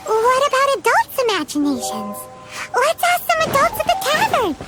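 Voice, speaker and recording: A woman voicing a tiny, childlike, excitable fairy companion in a video game, bubbly and given to exclaiming. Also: very high-pitched, moderate pace, loud music behind the voice, full character voice. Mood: curious, chatty. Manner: refers to itself in third person, exclaiming.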